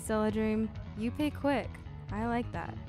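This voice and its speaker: A woman acts out a female crime boss holding court in a space cantina. Her voice is fairly high, teasing and familiar, with music clearly playing behind her. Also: measured pace, lightly acted. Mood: welcoming, teasing. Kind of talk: fan-made sci-fi audio drama acting.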